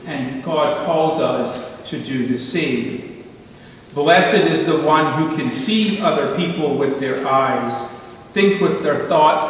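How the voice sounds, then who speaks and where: A middle-aged man preaches at a slow, deliberate pace, leaning into repeated phrases. His voice sits a little high for a man and rings through a big, reverberant sanctuary. He is picked up from a distance at the pulpit, with faint room ambience behind. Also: slight background noise. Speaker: pastor. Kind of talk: sermon. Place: church sanctuary, standing at a pulpit. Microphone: pulpit microphone heard from a distant camera. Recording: echoey church hall from far off.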